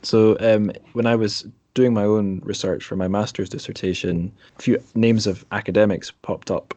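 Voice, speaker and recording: A man's voice: fairly low pitch, fairly even intonation, moderate pace, dark tone, no echo. A man hosting a podcast interview in a casual, tentative, informal way.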